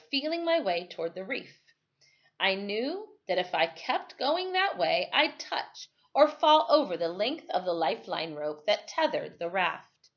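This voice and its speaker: A woman reading a novel aloud as a storyteller, with careful, dramatic phrasing and expressive narration. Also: on the high side. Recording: dull, lo-fi sound.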